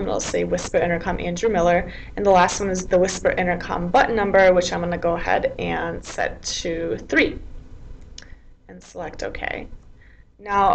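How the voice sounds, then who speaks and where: A woman presenting a software tutorial in an informal, explanatory, step-by-step way. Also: lowish voice, vocal fry, slight background noise, low-quality recording. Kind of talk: software tutorial narration, semi-scripted.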